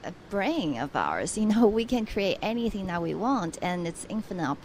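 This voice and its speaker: A woman's voice: medium pitch, big pitch swings, moderate pace, darkish tone, slight background noise. A young adult woman from Taiwan, a guest on a radio interview, speaking earnestly with frequent restarts.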